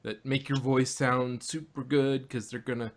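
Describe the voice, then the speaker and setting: Man, medium pitch, casual podcast host, rambling, thinking aloud. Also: flat delivery, normal speed, noisy background. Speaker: podcast host reviewing audio dramas. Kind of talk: casual group discussion.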